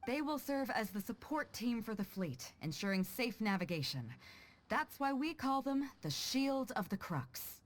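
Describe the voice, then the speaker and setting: A woman's voice: fairly high pitch, sing-song, a little slow. A voice actress playing a proud, confident female sea captain with a commanding, teasing way of talking in a video game. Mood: confident, instructive. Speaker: ship captain character. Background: background music.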